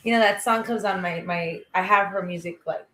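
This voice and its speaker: A woman's voice: on the low side, fairly even intonation, fairly quick, fairly bright tone. A woman singer chatting as a guest, telling stories with lots of laughter. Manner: laughing, bubbly storyteller. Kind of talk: casual interview chat.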